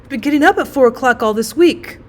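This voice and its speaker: A woman's voice: high voice, animated, a little hiss or hum. A woman voicing a miserable, flustered thirteen-year-old schoolboy who stammers through his words in a story. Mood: flustered, pleading. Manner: stammering, halting.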